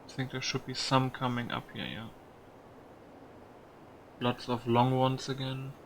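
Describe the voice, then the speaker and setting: A man's voice: medium pitch, flat delivery, a little slow, a little hiss or hum, poor audio. A man giving casual video game commentary, musing aloud with some mild swearing. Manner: musing aloud, mildly profane.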